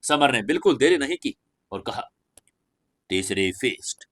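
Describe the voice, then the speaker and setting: A man's voice: medium pitch, lively intonation, a little fast, fairly bright tone, husky. A man reading a scripted fantasy audio story as narrator, with emphatic, dramatic storytelling delivery.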